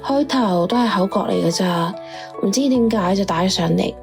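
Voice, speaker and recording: A world-weary female informant voiced by a woman speaks at an ordinary pitch in a radio drama. She lays out findings plainly and with resignation, while music plays clearly behind her. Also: normal speed, natural voice. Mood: resigned. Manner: matter-of-fact, resigned.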